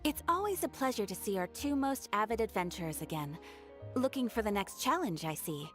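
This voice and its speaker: A woman's voice: mid-range voice, sing-song, faint music behind, lightly acted. A woman voicing a polite, composed guild receptionist who hands out commissions in a game. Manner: courteous, measured, formal.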